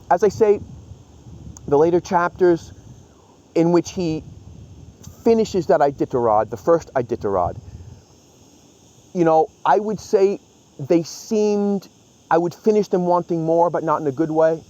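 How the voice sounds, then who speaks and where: Man casually reviewing, slightly high voice. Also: dark tone, rough recording. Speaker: book reviewer and host. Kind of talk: casual, opinionated review talk.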